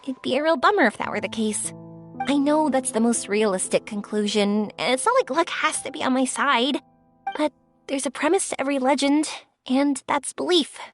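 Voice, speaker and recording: A woman voicing a cheerful, earnest young firework maker who speaks brightly and eagerly, in video game voice acting. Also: high-pitched, moderate pace, natural voice. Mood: hopeful. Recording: soft game music behind.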